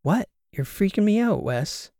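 A man's voice: high-pitched, very animated, a little slow, mellow, dry recording, pitched above the performer's own voice. A man voicing a skeptical, exasperated woman podcast co-host in an audiobook, with a dry, sarcastic manner. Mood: sardonic. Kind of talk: audiobook character dialogue.

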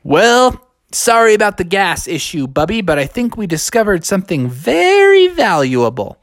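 Junior Bear, a shrill whiny young bear. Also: male voice, big pitch swings, normal speed, a bit bright, no echo, studio quality, exaggerated voice. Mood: apologetic.

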